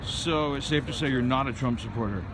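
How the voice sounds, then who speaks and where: A man's voice: medium pitch, fairly quick. A man filming in the street and interviewing passers-by with brief, direct questions. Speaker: documentary filmmaker interviewing people. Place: city street outdoors. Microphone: handheld camera mic, behind the camera. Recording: street noise outdoors.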